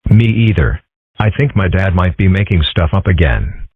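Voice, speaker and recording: A man voicing deadpan, husky male animal characters in a comic strip dub. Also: sits low, moderate pace, dry recording. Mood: wry. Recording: muffled phone-like band.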